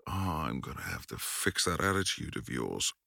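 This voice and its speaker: A man's voice: a bass voice, big pitch swings, moderate pace, creaky, noisy background. A man performing an intimate audio roleplay in a dominant, commanding way, often dropping to a whisper.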